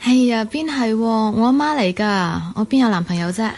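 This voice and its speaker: Higher-voiced, shy student in radio drama. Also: female voice, animated, moderate pace, a little mellow. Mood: resigned. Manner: reserved, self-effacing. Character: shy, homely young woman student who loves cooking. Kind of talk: Cantonese radio drama acting.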